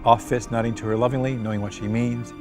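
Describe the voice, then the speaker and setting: Man, script narrator, brisk pace, middle pitch, reading directions aloud, faint music. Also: flat delivery, darkish tone. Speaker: script narrator at a table read.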